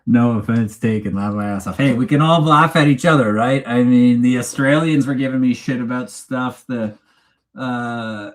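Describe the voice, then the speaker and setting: A man's voice: mid-range voice, normal speed. A man with a Canadian accent hosting a casual, unscripted livestream chat in a rambling, teasing, informal way. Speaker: livestream host. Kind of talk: casual unscripted chat, reading live comments.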